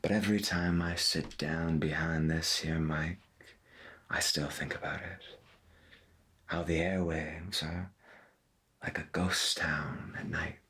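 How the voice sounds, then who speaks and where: A man playing a folksy, gentle veteran pirate radio host, speaking softly and slowly with a breathy voice in an ASMR roleplay. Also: rumbling low, fairly even intonation, full character voice. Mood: calm, intimate. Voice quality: breathy, husky. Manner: slow, deliberate, folksy, half-whispered. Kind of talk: soft-spoken ASMR roleplay as 1990s AM radio.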